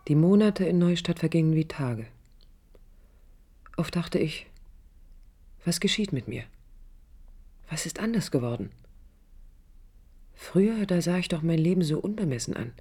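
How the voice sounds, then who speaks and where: A woman's voice: low voice, lively intonation, unhurried, long pauses, warm tone, slightly rough, full character voice. An actress playing an idealistic, stubborn young architect in a radio drama, thoughtful, intimate and earnest in her delivery. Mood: reflective, determined. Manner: intimate, thoughtful, earnest, at times dropping to near whisper. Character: idealistic young female architect, reflective yet stubborn and defiant. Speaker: lead actor and first-person narrator of a radio play. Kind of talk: 1980s East German radio drama acting, introspective monologue.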